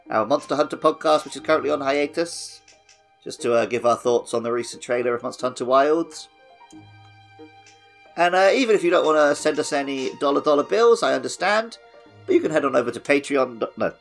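A man's voice: mid-range voice, a bit bright. A man hosting a podcast in a casual, chatty style. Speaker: podcast host. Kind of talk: casual chat.

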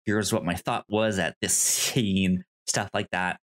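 A man co-hosting a podcast, discussing films casually in a halting, rambling way with easy laughter. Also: low voice, steady tone, fairly bright tone. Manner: rambling, stammering, laughs easily. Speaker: podcast co-host.

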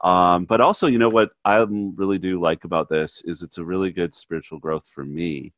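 A man hosting a conversational faith and psychology podcast, a little stuffy from a cold, relaxed, with frequent fillers and easy laughs. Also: deep voice, normal speed, no echo. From United States. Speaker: podcast co-host, therapist.

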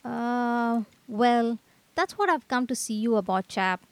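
Nervy young painter fella voiced by a woman, hesitant, a bit high, radio comedy. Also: animated, slow, dry recording. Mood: worried. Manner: hesitant, fretful, period slang. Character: anxious young male painter seeking help from a friend.

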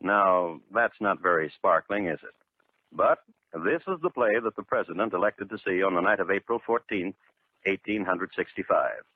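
A man narrating a scripted 1950s true crime radio drama in a dry, deadpan, measured manner. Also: deep voice, dry recording. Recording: noisy, narrow-band 1950s radio recording.

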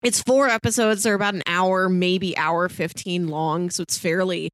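A woman's voice: lowish voice, fairly even intonation, moderate pace, dry recording. A woman co-hosting a podcast, giving her opinions in casual review chat. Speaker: podcast co-host.

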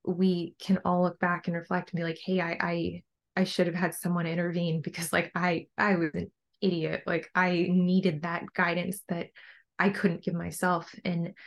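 A young adult woman with a low voice, talking casually and earnestly as a coach being interviewed on a podcast. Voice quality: low-pitched voice. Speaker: bodybuilding coach and podcast guest.

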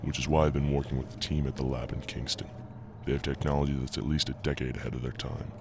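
An actor playing an obsessive visionary inventor and devoted husband in an audio drama, with a grave, measured delivery and a gravelly voice over a noisy recording. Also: male voice, a bass voice, little pitch movement. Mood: fervent. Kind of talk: audio drama acting, partly recorded log narration. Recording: noisy amateur podcast recording.

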